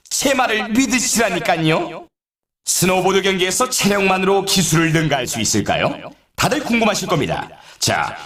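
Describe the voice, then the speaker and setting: A man playing an excitable, showy snowboard race announcer in a video game, delivering scripted sports commentary in a dramatic style. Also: high-pitched. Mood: grand, confident. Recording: roomy echo, slightly distant mic.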